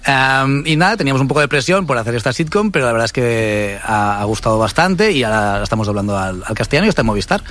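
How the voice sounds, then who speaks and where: A man's voice: mid-range voice, expressive, fast talker, hardly any pauses, fairly bright tone. A man who directs and writes a TV series, chatting on the radio in a jokey way and laughing easily. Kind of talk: casual radio chat.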